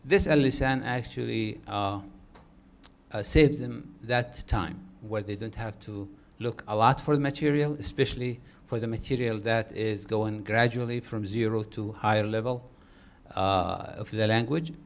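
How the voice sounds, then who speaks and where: A man's voice: fairly low pitch, unhurried, vocal fry. Hesitant man speaking at a panel. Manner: hesitant, with many uhs. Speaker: guest speaker from a cultural center.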